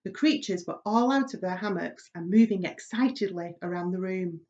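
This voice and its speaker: A woman reading a children's story aloud in an expressive storytelling style. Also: lowish voice, normal speed, lo-fi sound. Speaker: reader, primary school staff member.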